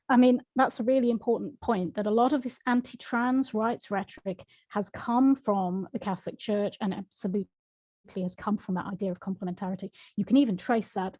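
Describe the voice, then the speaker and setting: A woman talks through her answers frankly and thoughtfully, with a mellow, darker tone. The sound is close and dry, with little room around her. She laughs lightly now and then as she speaks. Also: mid-range voice, dry recording. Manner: reflective, frank, laughs often. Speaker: author and guest. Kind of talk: conversational interview answers.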